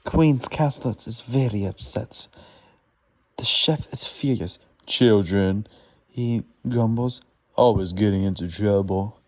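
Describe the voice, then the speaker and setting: A man reads a children's storybook aloud haltingly, stumbling over words and correcting himself as he goes. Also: fairly low pitch. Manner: stumbling, laboured reading with self-corrections.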